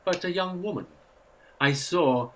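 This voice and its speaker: An actor playing a meek, kindly old English rector in a radio play, hesitant, apologetic and haunted. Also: male voice, high-pitched, measured pace, a bit bright, slight background noise. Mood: gentle, troubled. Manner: hesitant, apologetic, nervously chuckling. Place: actor's home.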